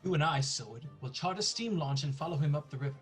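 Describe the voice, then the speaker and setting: A man's voice: on the high side, animated, quick pace, soft background music, natural voice. An actor in a radio play voicing an earnest, determined Englishman setting out his account.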